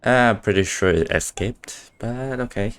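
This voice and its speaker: A man's voice: on the low side, measured pace, fairly bright tone, clean tone, dry recording. A man giving casual live playthrough commentary as a game streamer, with offhand, laconic reactions.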